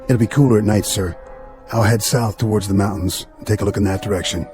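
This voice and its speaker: A man's voice: fairly low pitch, fairly even intonation, fast, darkish tone, faint music behind, close to an everyday voice. An actor playing a dutiful, suspicious junior spaceship crewman with an earnest military manner in a radio drama. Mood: earnest, steady.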